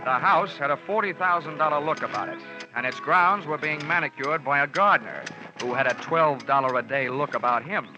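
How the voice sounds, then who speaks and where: A man's voice: on the high side, animated, a little fast, few pauses, close to an everyday voice. An actor playing a dry, wisecracking insurance investigator who narrates his case in a 1950s radio drama. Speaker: narrator and lead detective. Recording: dull old radio recording with music.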